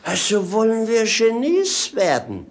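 Slow, droll roguish servant. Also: male voice, sits high, wildly swooping pitch, heavily acted. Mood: playful. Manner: droll, drawn-out, theatrical swoops. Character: roguish, clownish servant, punning and mocking. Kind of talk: German stage-classic radio drama acting.

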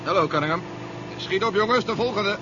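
An actor playing a curt, domineering general in a 1970s science fiction radio drama, brisk and impatient in his orders. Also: sits high, close to an everyday voice. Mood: terse. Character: curt, domineering male general commanding a recovery operation. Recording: dull, noisy 1970s radio recording.

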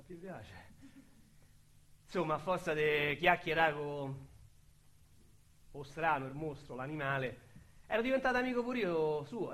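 A man's voice: on the high side, a bit bright. An actor with a Roman accent playing a crude, rambling male ex-convict in a radio drama. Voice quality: slangy, rambling, vulgar storyteller. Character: crude talkative male ex-convict recalling prison.

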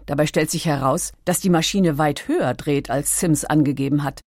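A woman reading scripted documentary narration in a composed, clearly articulated manner. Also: low voice, normal speed, darkish tone, dry recording, clean studio sound. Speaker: audiobook narrator.

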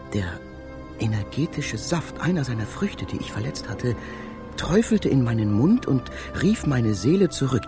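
An actor playing a dreamy, fervent poet-inventor and space traveller in a literary radio drama, with a theatrical, poetic delivery. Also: male voice, medium pitch. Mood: wondering.

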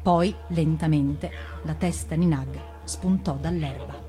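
A woman's voice: deep voice, mellow. Dramatic woman storyteller over music. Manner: dramatic, deliberate. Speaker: reader of fables on radio. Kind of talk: expressive scripted storybook narration.

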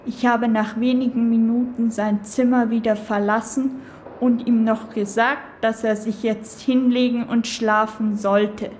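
An actress playing a dutiful nurse giving a measured, factual witness statement in a radio drama. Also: female voice, a little high, little pitch movement, darkish tone, slight background noise, close to an everyday voice. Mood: matter-of-fact. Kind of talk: scripted radio drama, testimony.

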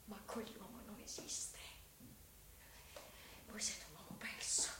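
A woman's voice: mid-range voice, fairly even intonation, slow, whispered, close to an everyday voice. An actress playing a proud, wronged young woman, emotional and accusing, in a theatrical radio drama. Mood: bitter, sorrowful. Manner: emotional, swinging between hushed and outraged. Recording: distant, roomy radio play with faint noise.

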